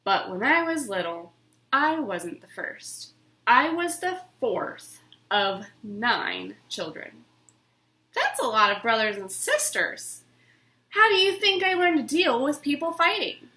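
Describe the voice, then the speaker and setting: A woman giving an expressive, theatrical dramatic reading of a children's book. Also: high-pitched, slow. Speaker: storybook reader. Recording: roomy, echoing room sound.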